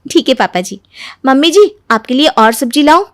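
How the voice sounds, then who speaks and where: Woman acting a very high, sugary young daughter-in-law. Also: expressive, normal speed, dry recording, clean studio sound. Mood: mock innocent. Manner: sing-song mock innocence, eager and insistent. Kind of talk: animated moral story voice acting.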